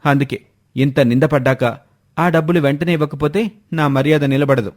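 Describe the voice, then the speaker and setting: A man voicing a proud, stern, offended son-in-law in an animated moral story, speaking firmly and indignantly. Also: fairly high pitch, moderate pace, lightly acted. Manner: firm, indignant.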